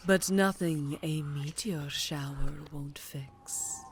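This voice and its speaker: A woman playing a cruel, imperious vampire mistress in an ASMR fantasy roleplay, mock-tender and menacing, with breathy whispers. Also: low voice, slow pace, background noise. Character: cruel, imperious vampire mistress gloating over her witch apprentice.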